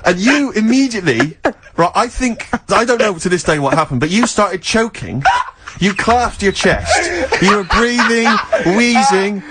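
A man's voice: high voice, animated, a little slow. An English man co-hosting a radio show, chatting in a teasing, incredulous way and probing with questions. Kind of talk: bantering radio chat. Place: radio studio. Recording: noisy radio broadcast recording.

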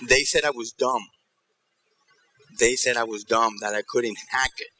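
Bright-voiced man giving a motivational talk. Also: medium pitch, measured pace. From New York and Ecuador. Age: middle-aged. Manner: animated storytelling, checking in with the audience. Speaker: keynote speaker. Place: theater stage in a large auditorium.

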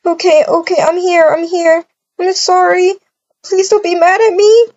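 A woman voicing a terrified young woman held captive in an amateur roleplay, pleading in a panicked, fast, loud voice. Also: very high-pitched, level tone. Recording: dull lo-fi recording.

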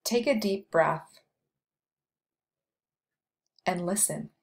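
A woman leading a guided meditation, speaking slowly and softly with long pauses between phrases. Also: on the low side, vocal fry. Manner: slow, soft, soothing delivery with long pauses.

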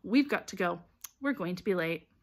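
A woman's voice: fairly low pitch, lively intonation, normal speed. A woman voicing an irritable, blunt schoolboy in an audiobook, grumbling and indignant. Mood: irritated. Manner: gruff, muttering, indignant.

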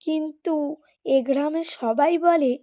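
A woman's voice: high voice, measured pace, dark tone, a little hiss or hum, pitched above the performer's own voice. A woman voicing a stern but concerned village aunt in a cartoon story, scolding and cautioning. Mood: stern, grave.

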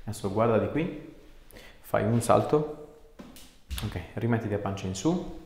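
A man's voice: fairly low pitch, animated, a little slow, vocal fry, darkish tone. A man in his thirties, an osteopath, giving short encouraging instructions and chatting casually with a patient. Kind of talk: hands-on treatment instructions and casual chat with patient. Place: small clinic treatment room, indoors. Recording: echoey treatment room, faint background noise.

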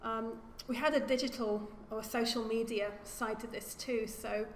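A woman archaeologist giving an academic lecture at a lectern, speaking in a measured way and often repeating words as she corrects herself. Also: on the high side, steady tone. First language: English. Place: lecture room. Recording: echoey lecture hall with faint hum.

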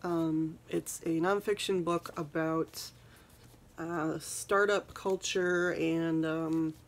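Soft-spoken woman with gravelly, flat voice. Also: low voice, slow. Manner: rambling, says "um" a lot. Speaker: beauty vlogger. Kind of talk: casual get-ready-with-me chat. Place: small bedroom, indoors. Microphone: phone camera at arm's length.

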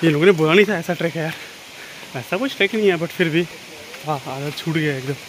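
A young man, vlogging on a forest trail. His voice sits a little high. Clear outdoor noise runs behind it from a handheld camera. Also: quick pace, poor audio. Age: young adult. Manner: chatty, mixes in English phrases. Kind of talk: casual travel vlog. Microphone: handheld camera or phone at arm's length.

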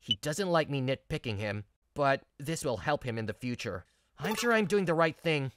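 A woman's voice: rumbling low, moderate pace, dry recording, studio quality. A woman voicing an earnest, stressed young male office worker, narrating and acting in a manga video. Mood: stressed, dutiful. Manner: earnest, expressive. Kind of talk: first-person narration and dialogue in manga video.

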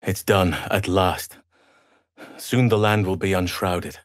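Male elf druid, solemn, earnest guardian of nature, low voice, formal game dialogue, dry close recording. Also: fairly even intonation, no echo. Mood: solemn, sorrowful. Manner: solemn, measured, formal phrasing. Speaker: video game character. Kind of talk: scripted game voice lines.

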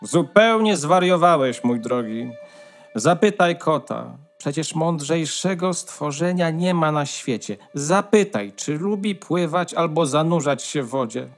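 A man's voice: a little high, animated, measured pace, faint music behind. A man playing a bossy, smug female hen who lectures condescendingly in a fairy-tale radio play. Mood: sarcastic.

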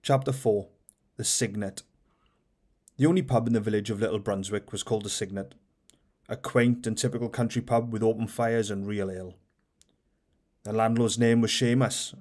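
A man hosting his own channel, reading a book of light-hearted short stories aloud in a steady, measured way. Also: fairly low pitch. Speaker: audiobook reader and channel host.

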